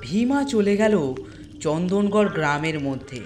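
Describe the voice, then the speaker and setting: A man narrating a scripted horror story, dramatic, with deliberate pauses. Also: high-pitched, lively intonation, darkish tone. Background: music.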